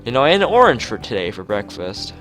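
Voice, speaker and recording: A man's voice: mid-range voice, very animated, fast talker, a bit bright, faint music behind, pitched above the performer's own voice. A man voicing an odd, blunt, musing teenage schoolgirl artist from a visual novel, talking in matter-of-fact non-sequiturs.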